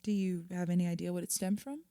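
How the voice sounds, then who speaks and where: Actress as therapist for audio drama: patient, probing, reassuring woman, warm mellow tone on the lower side, conversational. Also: smooth, no room sound, close to an everyday voice. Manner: measured, reassuring.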